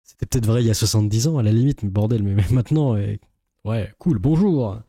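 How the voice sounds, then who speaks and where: A man's voice: on the low side, quick pace, warm tone, slightly rough, dry recording, studio quality. A man giving casual reaction commentary as a YouTuber, in a sarcastic, sceptical and rambling way.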